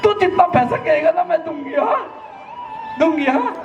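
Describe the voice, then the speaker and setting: A man's voice: very high voice, animated, normal speed, muffled recording, noisy background, voice pushed up. A man voicing a frantic, tearful middle-aged mother who wails and fusses over her son. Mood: pleading. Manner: panicked, wailing, fussing over her son. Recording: roomy echo, distant mic, music behind.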